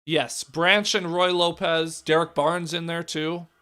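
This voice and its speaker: A young man with a raspy, worn-out voice calls a football game live, his pitch sitting a little high. He shifts from loud, excited play calls to quiet muttered asides, and his tired voice cracks now and then. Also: slow. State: hoarse, voice cracking. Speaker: live reaction streamer. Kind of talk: casual play-by-play commentary.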